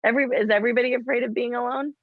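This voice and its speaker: A woman's voice: a little high, fairly even intonation, rapid-fire, no room sound, phone-quality sound. A woman in her thirties from the United States, a podcast guest who talks candidly and animatedly, laughs often and acts out her own thoughts. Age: 30s. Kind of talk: candid personal conversation.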